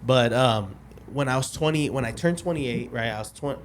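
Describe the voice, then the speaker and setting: A man in his late twenties tells a long personal story in a loose, rambling way, stopping and restarting as he tries to get his dates straight. His voice sits at an ordinary middle pitch, and a faint noise hangs in the background of the recording. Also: moderate pace. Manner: rambling, loses track of dates, many restarts and fillers. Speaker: podcast guest telling his testimony. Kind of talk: casual podcast storytelling.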